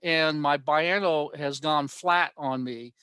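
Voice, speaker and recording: A man giving an informal technical presentation online, pausing often in mid-sentence as he explains. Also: a little high, sharp tone, clean tone, no room sound. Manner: halting, with frequent mid-sentence pauses. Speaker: amateur radio club presenter. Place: online workshop talk.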